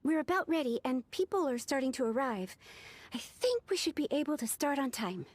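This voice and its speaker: An actress playing an eager, deferential young secretary in a video game, polite and earnest. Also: female voice, high voice, big pitch swings, brisk. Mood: polite, businesslike.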